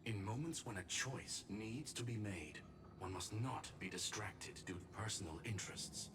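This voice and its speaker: A man playing a formal, logical scholar in a video game, speaking in measured, clinical, earnest phrases. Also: fairly low pitch, background noise, lightly acted. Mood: grave, analytical. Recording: music behind, slightly distant.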